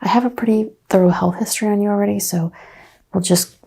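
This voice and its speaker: A soft-spoken woman playing a chiropractor in an ASMR roleplay, hushed and often drifting into a whisper. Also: mid-range voice, steady tone, quick pace, gravelly, husky. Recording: faint background noise.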